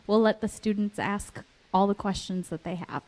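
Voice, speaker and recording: A woman's voice: mid-range voice, moderate pace, a little mellow. A woman from the school welcoming an author to a video call with students and setting out the schedule. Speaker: school librarian or teacher hosting the call. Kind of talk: casual welcome and instructions.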